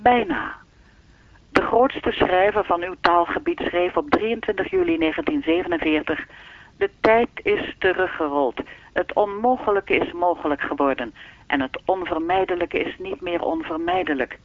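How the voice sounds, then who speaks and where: A man's voice: high voice, very animated, normal speed, creaky, no room sound, exaggerated voice. A man playing an enigmatic, all-knowing keeper of time in a radio play, speaking formally and teasingly through a telephone filter. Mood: calm, lecturing. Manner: formal, lecturing, unruffled, faintly teasing. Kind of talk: radio drama dialogue. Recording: thin, dry telephone-line sound.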